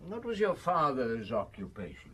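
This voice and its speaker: A calm, probing chief inspector. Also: male voice, medium pitch, slightly rough, heavily acted. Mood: steady. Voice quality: measured, questioning. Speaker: detective. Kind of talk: radio drama acting.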